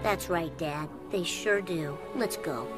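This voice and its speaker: A woman voicing a mischievous ten-year-old boy in an animated comedy game, brash and wisecracking. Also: on the low side, slow pace, music in the background. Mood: placating.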